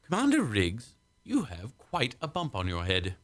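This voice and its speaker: A man's voice: medium pitch, huge pitch swings, measured pace, a bit bright. A man playing a caring but firm ship's doctor in a science fiction radio play, fussing and giving instructions. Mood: firm, busy. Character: brisk, caring male ship's doctor, firm under pressure.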